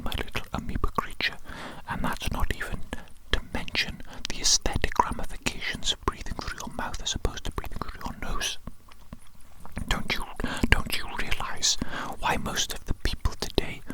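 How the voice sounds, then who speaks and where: A man's voice: lightly acted, low-quality recording. A man playing an eccentric evil doctor in a whispered ASMR roleplay, fussily repeating words to a tiny creature in his care. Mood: scornful. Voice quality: breathy, fully unvoiced whisper. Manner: fussy, repeats words and phrases obsessively.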